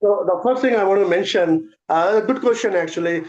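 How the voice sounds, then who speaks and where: Fast-talking man. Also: high-pitched, a little mellow, dull audio. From South Asia. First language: Urdu. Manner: fast, hesitant, many filler sounds. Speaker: guest presenter. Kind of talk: answering questions in an online event. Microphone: video call.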